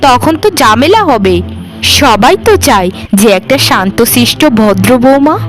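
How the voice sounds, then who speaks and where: A woman's voice: on the high side, expressive, normal speed, close to an everyday voice. A woman voicing a meek, long-suffering young village housewife in a cartoon, speaking in an earnest, placating way. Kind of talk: village moral-story cartoon acting. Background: background music.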